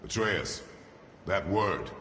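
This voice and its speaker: Brief: deep, gravelly stern warrior dad, curt orders, reverb and faint music. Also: male voice, expressive, takes it slowly, pushed and bright, slight background noise, exaggerated voice. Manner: curt commands. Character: grim gruff warrior father, stern and terse. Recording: reverberant game audio under music.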